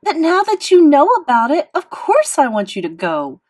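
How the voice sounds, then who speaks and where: A woman's voice: medium pitch, lively intonation, a little slow. A woman voicing a defiant, irritated teenage big sister in an audiobook, snappish and accusing. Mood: outraged.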